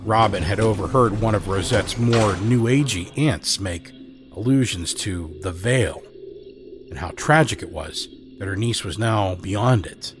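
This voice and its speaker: A man narrating an audio drama in a measured, dramatic storytelling manner. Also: lowish voice, faint music behind.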